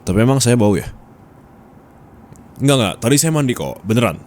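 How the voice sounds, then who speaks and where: A man's voice: medium pitch, normal speed, natural voice. A man playing a bossy, nagging office boss in an ASMR roleplay, scolding and teasing bluntly in colloquial speech with a Jakarta Indonesian accent. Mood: defensive, nagging. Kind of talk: intimate ASMR roleplay acting. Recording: steady background ambience noise.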